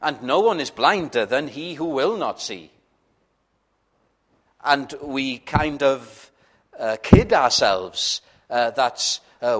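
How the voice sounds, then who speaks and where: A man whose first language is Welsh, preaching a sermon in a church in a slow, earnest way with frequent hesitations. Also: a little high, a bit bright.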